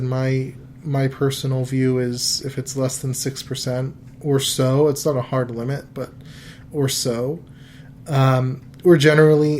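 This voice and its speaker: A man in his early thirties hosts a casual money podcast at a middle pitch. He talks in a loose, rambling way and keeps restarting his sentences. A faint hiss sits behind his voice. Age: 30s. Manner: relaxed, rambling, with frequent fillers and restarts. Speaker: podcast host giving financial advice.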